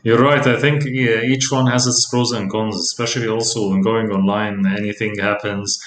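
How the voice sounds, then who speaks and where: A man hosting a live online interview, affirming his guest and chiming in with quick yeses. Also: fairly low pitch, fairly even intonation, fairly bright tone, a little hiss or hum. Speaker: host. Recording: echoey room with faint ambience.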